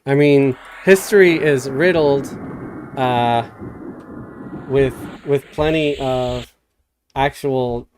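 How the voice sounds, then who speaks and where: A man hosting a live stream, chatting casually in a soft, calm way. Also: medium pitch, slow pace, pauses often, background noise. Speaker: streamer host. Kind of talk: casual chat.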